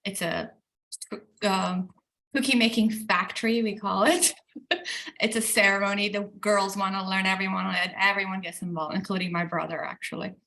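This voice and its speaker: A woman from Iran speaks up in an online class, with a bright, forward voice. She is frank and talks in short bursts over a video call. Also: medium pitch, fairly even intonation, moderate pace, vocal fry. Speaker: participant. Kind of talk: casual chat on a video call.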